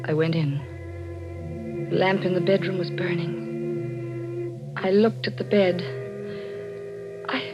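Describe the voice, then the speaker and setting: A woman's voice: medium pitch, big pitch swings, takes it slowly, long pauses. An actress playing an anxious but determined wife who suspects her husband, earnest and dramatic in a 1940s radio mystery. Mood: fearful, suspenseful. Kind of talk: 1940s radio mystery acting, first-person dramatic narration. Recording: muffled old radio recording with music.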